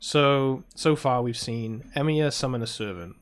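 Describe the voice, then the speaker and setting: A man's voice: medium pitch, unhurried, a little hiss or hum. A British man, host of his channel, giving casual, chatty commentary with self-mocking asides while playing a visual novel.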